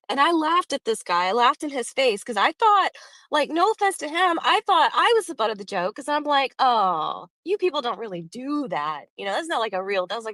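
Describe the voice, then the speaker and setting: A woman from Texas, hosting a casual chat, lively and quick to laugh. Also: on the high side, no room sound. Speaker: host.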